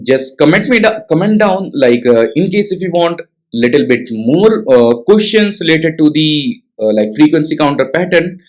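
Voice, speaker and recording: A man's voice: a little high, sing-song, normal speed, darkish tone. A young man with an Indian English accent walking through a coding tutorial, talking casually and checking in with frequent tag questions. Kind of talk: screen-share coding tutorial.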